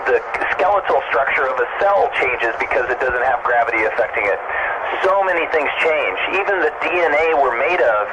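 A man's voice: mid-range voice, expressive, normal speed. A man explaining things conversationally in unscripted answers as an astronaut, speaking over an amateur radio link. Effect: radio transmission. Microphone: radio transceiver microphone. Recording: muffled, noisy ham radio link.

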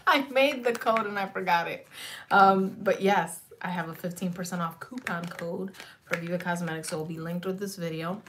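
A woman in her thirties chatting loosely on a beauty livestream, swearing freely, dropping in Spanish words, her slightly raspy voice going in and out. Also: fairly low pitch, moderate pace, fairly bright tone, slight background noise. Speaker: beauty vlogger. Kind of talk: rambling casual livestream chat. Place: small home beauty room, indoors.

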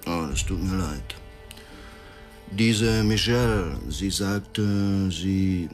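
A gentle death-row prisoner in a radio drama. His low voice moves very slowly, halting and repeating words with devout earnestness. Faint music sits behind.

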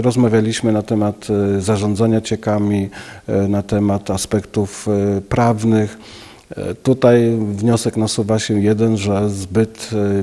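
Slow, halting man, low mellow voice. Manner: halting, many filler sounds. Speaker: local official. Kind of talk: news interview. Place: office, indoors. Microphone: handheld station mic.

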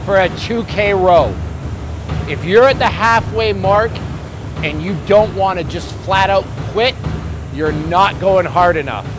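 American man coaching a gym group, higher-pitched voice. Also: measured pace, background noise. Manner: emphatic, informal. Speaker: gym instructor leading a challenge. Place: large gym hall with onlookers.

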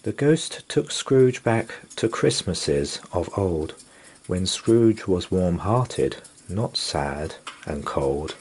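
A man reading a rhyming children's story aloud as a storyteller, with deliberate, theatrical expression. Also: sits low, expressive, a little mellow, slight background noise. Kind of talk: dramatic rhyming bedtime story narration.

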